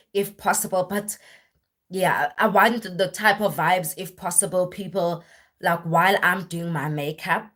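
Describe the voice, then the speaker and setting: A young woman with a husky voice, worn deeper than usual, chatting casually and at length on a live stream, with lots of fillers and restarts. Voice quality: husky, deep voice. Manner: rambling, filler-heavy, self-correcting. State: voice worn and deeper after a weekend of church singing.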